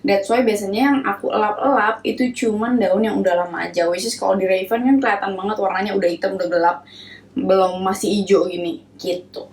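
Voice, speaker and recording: A woman's voice: mid-range voice, steady tone, fairly quick, a little mellow, rough recording. A young woman giving a casual plant care how-to. She has a cold, so her voice is nasal, and she talks chattily with many fillers and English phrases mixed in. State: stuffy nose from a cold.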